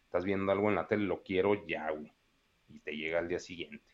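A man's voice: low voice, steady tone, fast talker, highs cut off. A man in his thirties with a Mexican accent, talking in a rambling, slangy casual chat. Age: 30s. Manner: slangy, rambling, peppers speech with "güey".

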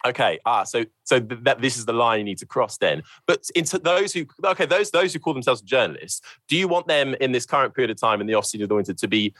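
A man's voice: mid-range voice, expressive, fast talker, hardly any pauses, a bit bright, dry recording. A man hosting a casual podcast debate, stammering and hesitating as he reasons things through. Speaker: podcast host.

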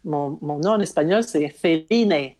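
A woman's voice: low voice, moderate pace, dark tone. A woman from Quebec, talking casually and emphatically as an interview guest, telling anecdotes. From Quebec, Canada.

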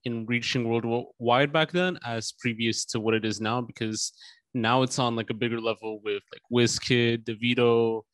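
A man's voice: mid-range voice, fairly even intonation, moderate pace, a bit bright, dry recording. A male podcast host in a medium register, recorded dry with almost no room sound. His questions wander and are padded with casual fillers. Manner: meandering questions full of fillers.

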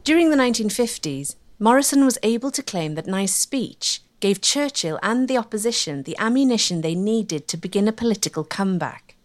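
A woman giving measured, scripted documentary narration. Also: mid-range voice, animated. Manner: clear, measured storytelling.

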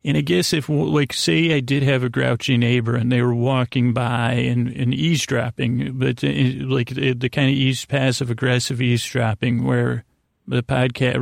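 A man hosting a bedtime podcast in a creaky voice, rambling in a gently silly, halting way that meanders and circles back. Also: mid-range voice, no room sound, studio quality. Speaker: podcast host. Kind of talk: rambling bedtime monologue to aid sleep.

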